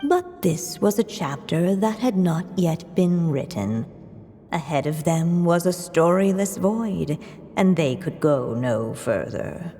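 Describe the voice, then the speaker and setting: Slow, mellow storybook narrator. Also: female voice, fairly low pitch, lively intonation, slightly rough, exaggerated voice. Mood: storytelling. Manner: deliberate, literary. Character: gentle, unhurried female storybook narrator. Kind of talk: scripted storybook narration in a game. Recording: long reverb, music behind.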